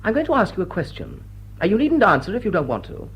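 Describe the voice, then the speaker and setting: An actor playing a smitten English aristocrat in a radio play, with an upper-class accent and an urbane, drily witty, tender manner. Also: male voice, high-pitched, huge pitch swings, fast, a bit bright, slightly rough, faint music behind, exaggerated voice. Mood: light, probing. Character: smitten, wry English aristocrat lord wooing a woman. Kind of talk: British radio play acting.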